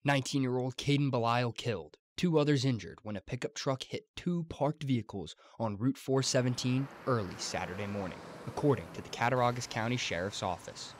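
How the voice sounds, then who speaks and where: A man's voice: medium pitch, fairly quick, few pauses, a little hiss or hum. A young American man delivering broadcast news as a student TV reporter, in a formal announcer-like manner. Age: young adult.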